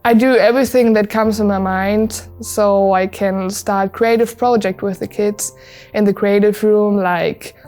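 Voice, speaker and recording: An eighteen-year-old woman from Germany, speaking English with a German accent in a relaxed, youthful way. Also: medium pitch, measured pace, soft background music. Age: young adult. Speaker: volunteer. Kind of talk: interview testimonial.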